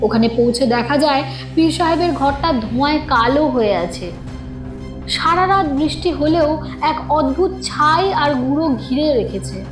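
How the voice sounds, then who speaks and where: A woman's voice: sits high, lively intonation, measured pace. A woman reading a scripted suspense story in a dramatic storytelling manner. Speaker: narrator of a horror audio story. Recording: echoing studio voice with music underneath. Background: background music.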